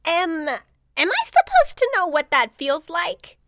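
Lady acting as a coy secret superhero reporter, super high swoopy voice, theatrical. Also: huge pitch swings, fairly bright tone, heavily acted. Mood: coy, incredulous. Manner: theatrical, incredulous, halting. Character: coy superhero posing as mild-mannered reporter. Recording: reverberant hall.

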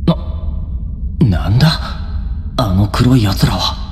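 Slow-talking teenage schoolboy hero, reverberant. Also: male voice, fairly low pitch, animated, a little mellow, soft background music. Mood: uneasy, musing. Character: cool, gruff teenage schoolboy hero, wary and bewildered. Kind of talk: anime video game voice acting. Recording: long reverb, faint background noise.

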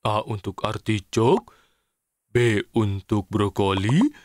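A man's voice: on the low side, lively intonation, slow pace, darkish tone, no room sound. A man voicing a cheerful, impulsive, childlike little cartoon man in a children's show. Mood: caring. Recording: studio voice over cartoon music.

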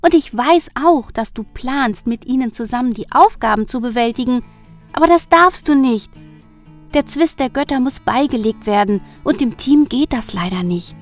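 A woman voicing a cheerful, gentle young goddess in an amateur radio play, speaking softly and coaxingly. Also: on the high side, expressive, moderate pace, faint music behind, lightly acted. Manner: soft and coaxing.

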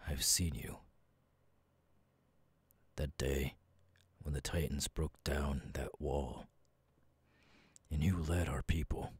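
A man acting out a brooding, battle-hardened army captain for an ASMR roleplay. His voice is extremely deep and husky, with slow, deliberate phrasing. It is recorded dry and intimate. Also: a little mellow, no echo, heavily acted. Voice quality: husky, breathy. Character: stoic, battle-hardened male military captain, brooding and vengeful. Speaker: voice actor, ASMR artist. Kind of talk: ASMR audio roleplay, dramatic monologue.

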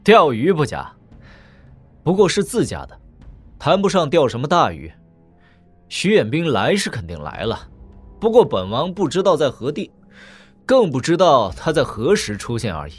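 A young warrior prince from a wuxia audio drama, assured and unbothered. His husky voice moves over a very wide range while he casually evades, with faint music behind. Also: on the high side, huge pitch swings, moderate pace, pauses often, exaggerated voice. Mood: nonchalant confidence. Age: young adult. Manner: nonchalant, assured. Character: calm, confident young warrior prince, nonchalant and evasive.

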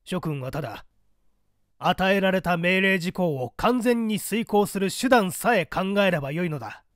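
A stern male underground agent acted out by a male reader issues formal, clipped orders in an audiobook drama. His voice is fairly high and moves widely in pitch, with an imperious, authoritarian edge. The sound is close and dry. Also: expressive, moderate pace, a bit bright, no echo. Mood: stern, forceful. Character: stern, commanding male secret-party courier in a mask.

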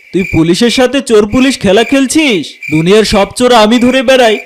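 An angry cartoon police officer acted by a man with an extremely high voice for a male. He scolds, threatens and blusters. Faint noise sits behind the voice. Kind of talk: children's cartoon dialogue.

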